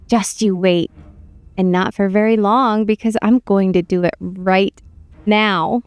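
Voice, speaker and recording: A woman's voice: mid-range voice, fairly even intonation, unhurried, clear, resonant tone, dry recording, close to an everyday voice. A woman voicing a menacing, eager princess who taunts and relishes violence in a dramatic game script reading. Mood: taunting.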